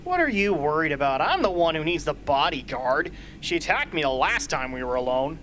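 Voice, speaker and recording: A man's voice: on the high side, very animated, brisk, a bit bright. Blunt middle-aged mentor, played by a man, faint background noise. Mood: blunt, challenging. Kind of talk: amateur radio drama acting. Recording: hobby recording with ambient noise.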